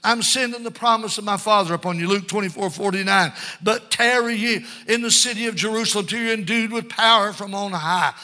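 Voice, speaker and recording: A man's voice: sits high, a little fast, few pauses, pushed and bright. An older man preaching a fervent Pentecostal sermon, exhorting listeners and punctuating his points with amens and calls for response.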